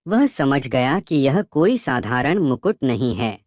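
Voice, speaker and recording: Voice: mid-range voice, very animated, slow, a little mellow, no room sound, clean studio sound. A storyteller narrating a scripted moral tale for children.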